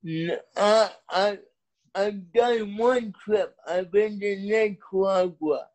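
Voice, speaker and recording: A man's voice: sits high, slow pace, darkish tone. A man speaking as a podcast guest in casual chat, hesitant and full of fillers.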